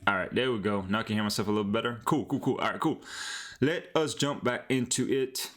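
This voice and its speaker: A man's voice: fairly low pitch, a little fast. Laid-back Colombian man chatting on a stream. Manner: relaxed, slangy, switches into Spanish, frequent restarts. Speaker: live-streaming app developer. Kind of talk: casual live-stream chat with viewers. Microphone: headset.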